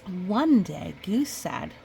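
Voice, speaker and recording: A woman reading a picture book aloud in a chatty, expressive way, with casual asides. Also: lowish voice, drawn-out words, creaky, a little hiss or hum. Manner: chatty, expressive storytelling with playful sound effects. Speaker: storybook reader.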